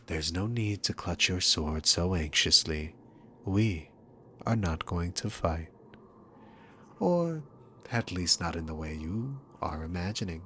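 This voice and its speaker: Male actor as demon king, deep voice, slow taunting delivery, sarcastic, theatrical villain, ASMR roleplay, noisy background. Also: animated, sharp tone, exaggerated voice. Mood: mocking reassurance. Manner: taunting, theatrical, drawn-out condescension.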